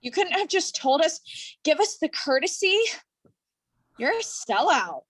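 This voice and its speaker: A high-pitched voice for a blunt, indignant woman filmmaker , played by an actress. She sounds wounded and accusing and swears freely, as in a staged play reading. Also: big pitch swings, a little slow. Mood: angry, accusing. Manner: accusing, profane.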